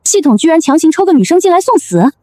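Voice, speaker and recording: A woman voicing a lively, expressive young game contestant in an animated drama. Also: very high voice, fast talker, no echo. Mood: indignant, worried. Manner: expressive, exclaiming. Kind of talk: animated web drama dubbing.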